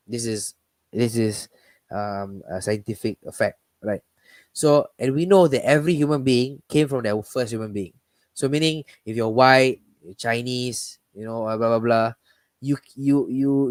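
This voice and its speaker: A man's voice: on the low side, a little mellow, no echo. A man hosting a casual live discussion, talking in a rambling way with many restarts and fillers. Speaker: host.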